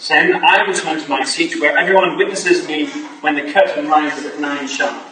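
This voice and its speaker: An obliging Victorian gentleman played by a man theatrically recounts his steps in a slightly high voice. It is heard from far off in a very echoey room with crowd sounds. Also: fairly even intonation, normal speed, natural voice. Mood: obliging, showy. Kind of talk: theatrical staged reading.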